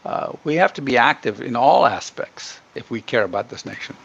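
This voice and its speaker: Gravelly, emphatic middle-aged man, muffled recording. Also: medium pitch, lively intonation, moderate pace, a bit bright. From Ghana, grown up in Africa and the Middle East. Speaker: podcast guest. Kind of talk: earnest opinionated interview talk. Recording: dull, muffled lo-fi connection.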